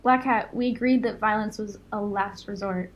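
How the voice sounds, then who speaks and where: A woman's voice: mid-range voice, steady tone, moderate pace, lo-fi sound, natural voice. A woman playing a reasonable, plain-spoken young supervillain in an audio drama, conciliatory in manner. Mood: exasperated.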